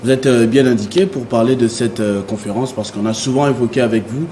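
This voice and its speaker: Mellow Congolese male panel host. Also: mid-range voice, fairly even intonation, brisk. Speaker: talk show host. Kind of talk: moderating a political panel. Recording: faint noise on studio recording.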